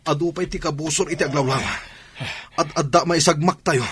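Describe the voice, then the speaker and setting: An actor playing a dutiful, worried soldier who is respectful and earnest in an Ilocano radio drama. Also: male voice, fairly high pitch, steady tone, a little fast, noisy background, close to an everyday voice. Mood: worried, urgent.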